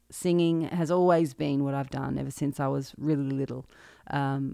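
Australian woman podcast host, thirties, reflective and candid, smooth voice with a gravelly edge, dry close sound. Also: a bass voice, no room sound. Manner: candid, reflective, with soft laughs. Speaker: podcast host and singer-songwriter. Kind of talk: personal monologue.

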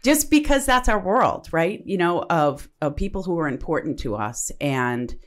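A woman's voice: fairly low pitch, expressive, normal speed. A woman co-hosting a casual podcast, speaking eagerly and in long, rambling explanations. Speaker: podcast co-host.

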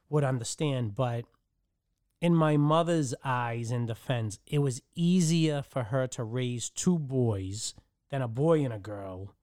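A middle-aged man from the Dominican Republic, a podcast guest recounting his childhood in a halting way, often restarting his sentences. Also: mid-range voice, darkish tone, smooth, no echo, clean studio sound. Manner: halting, with frequent restarts and fillers. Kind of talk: personal storytelling.

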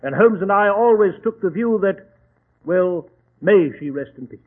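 An earnest, courteous detective's companion in a 1940s radio play. His voice is high and rough-edged, hesitant and deferential. The recording is tinny and narrow, like an old telephone line. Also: animated, normal speed. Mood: genial storytelling. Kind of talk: 1940s radio drama acting.

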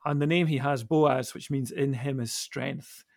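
A man talks through ideas as a podcast panellist. His voice is gravelly with creak and sits at a middle pitch. He phrases things haltingly and thoughtfully, recorded close and dry. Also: steady tone, no room sound. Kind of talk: reflective conversational discussion.

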